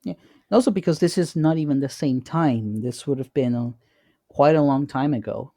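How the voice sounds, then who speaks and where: A man co-hosting a podcast, speaking casually in a measured, explanatory way as he analyses and asks questions. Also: mid-range voice, dark tone, no room sound, studio quality. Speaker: podcast co-host.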